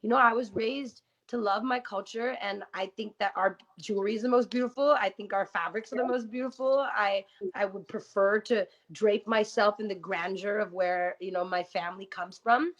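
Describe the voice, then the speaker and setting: A woman guest talking candidly in a radio interview, earnest and quick, with many "you know" fillers. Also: medium pitch. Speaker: guest rapper. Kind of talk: casual interview talk with rapped verses. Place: radio studio. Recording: muffled lo-fi sound.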